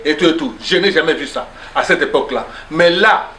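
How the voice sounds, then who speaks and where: A man's voice: a little high, brisk, sharp tone. A man arguing insistently in a debate, mixing French and Lingala, often cutting in with repeated "no, no". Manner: insistent, interrupts with repeated "non, non". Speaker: pastor in a debate. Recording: echoey room with faint background noise.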